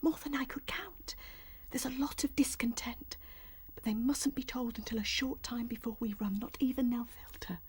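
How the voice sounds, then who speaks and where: A woman's voice: on the high side, steady tone, moderate pace, a little hiss or hum, lightly acted. Woman as a grave, sorrowful doe. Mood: hushed, conspiratorial. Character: sorrowful, dignified female rabbit, oppressed but brave. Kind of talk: radio drama acting.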